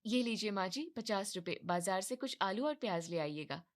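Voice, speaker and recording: A woman voicing a thrifty young wife who refuses money in a quiet, firm, matter-of-fact way. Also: medium pitch, expressive, normal speed, close to an everyday voice. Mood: firm calm.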